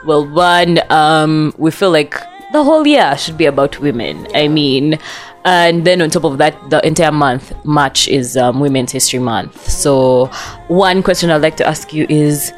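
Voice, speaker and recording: Low-voiced woman hosting a podcast. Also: expressive, a little slow, faint music behind. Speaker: podcast host. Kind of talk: casual podcast chat.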